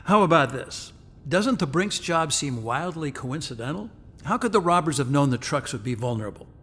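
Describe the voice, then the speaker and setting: An actor playing the gentle, teasing ghost of a dead husband in a radio drama. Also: male voice, animated, moderate pace. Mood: pondering. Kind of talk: contemporary radio drama acting. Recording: long reverb, rumbly background noise.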